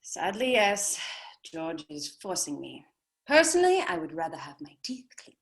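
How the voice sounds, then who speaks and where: A woman's voice: fairly low pitch, big pitch swings, normal speed. A woman playing a glamorous, weary young film star with dry, dramatic delivery in a table read. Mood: wry. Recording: noisy home microphone with clicks.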